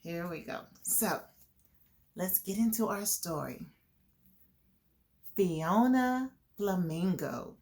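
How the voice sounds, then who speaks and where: A woman's voice: lowish voice, expressive, slow pace, long pauses, darkish tone. A woman hosting a children's storytime, reading a picture book aloud and explaining it to young listeners. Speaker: children's storytime host and reader.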